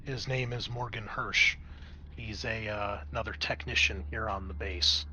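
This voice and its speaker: A man's voice: fairly low pitch, fairly even intonation, a little slow, bright, forceful tone, rough recording. A man narrating a tabletop horror game as game master, improvising in a conversational, explanatory way.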